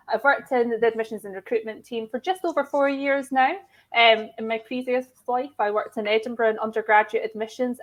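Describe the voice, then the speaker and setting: Fluent woman admissions head on a call. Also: a little high. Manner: warm, fluent, with frequent "um". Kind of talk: professional panel talk.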